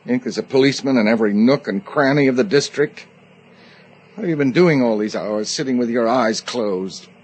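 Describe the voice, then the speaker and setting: A man's voice: medium pitch, brisk, darkish tone, lightly acted. An actor in a radio drama playing a guilty, domineering man with an intense, stammering manner. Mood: irritated, snapping. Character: guilty, agitated man hiding a killing, domineering yet pleading. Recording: radio drama recording with noisy ambience.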